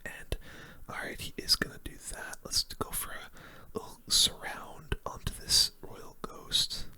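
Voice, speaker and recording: A gaming host giving hushed, casual ASMR commentary in a whisper, using relaxed gamer slang. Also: measured pace.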